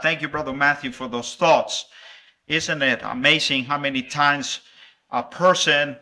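Bright-voiced preacher. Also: male voice, mid-range voice. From El Salvador. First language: Spanish. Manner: warm, animated and playful with children, with halting restarts. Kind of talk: sermon with a children's object lesson.

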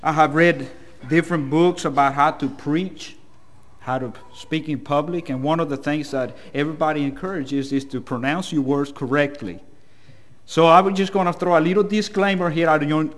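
A male preacher delivers a sermon in English with a Salvadoran Spanish accent. His voice sits a little high for a man. He speaks plainly and a bit hesitantly, with a self-deprecating way about him. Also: a bit bright.

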